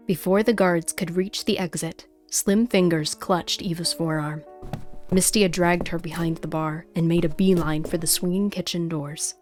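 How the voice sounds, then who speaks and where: A woman's voice: lowish voice, steady tone, moderate pace, a little mellow, soft background music. A woman hosting her own show, moving between scripted story narration and chatty talk as an author. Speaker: host and author.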